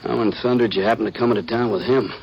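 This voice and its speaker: A male actor plays a weary, scornful deputy from a radio western. The man's voice is creaky and on the low side, with blunt folksy phrasing. A faint noise sits on the old recording. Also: steady tone, racing, dull audio, close to an everyday voice. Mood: wry, reflective. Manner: folksy, blunt, sarcastic drawling. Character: gruff, weary small-town western deputy, scornful of outlaws. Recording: noisy vintage radio recording.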